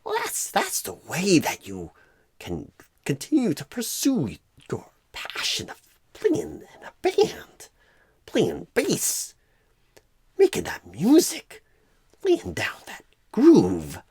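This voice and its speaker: A man voicing a clueless, rambling job recruiter in an ASMR roleplay, with a breathy, husky voice and halting phrasing full of odd pauses and stresses. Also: sits high, wildly swooping pitch, darkish tone, no room sound, studio quality, full character voice. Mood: musing, earnest.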